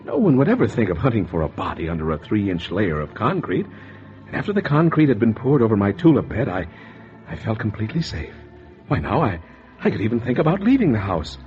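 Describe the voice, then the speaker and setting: 1940s radio noir: secretive American husband, stammering and guilty, swinging pitch, dull vintage sound. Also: male voice, very animated, a little fast, soft background music. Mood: confiding, scheming. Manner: nervous, hesitant, stammering. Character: anxious guilty husband, secretive murderer, narrating his crime.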